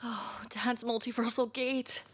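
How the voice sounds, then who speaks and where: A woman's voice: a little high, fairly even intonation, a little slow, a little hiss or hum, lightly acted. A woman voicing a wry, sensible, exasperated young raccoon in an audio drama. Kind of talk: audio drama cartoon acting.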